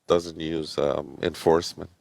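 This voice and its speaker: Very deep-voiced hesitant man. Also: little pitch movement, vocal fry, darkish tone. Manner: hesitant, halting, many fillers. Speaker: caller. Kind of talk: live-stream debate.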